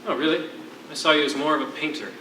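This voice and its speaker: A man acts out a jailed writer with a formal, wordy wit. His voice is very forceful and theatrical, carrying dry sarcasm and weariness. It sounds distant and echoey, as from a theatre stage, with faint noise. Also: on the high side, fast. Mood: courtly, probing. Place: on a stage in a hall.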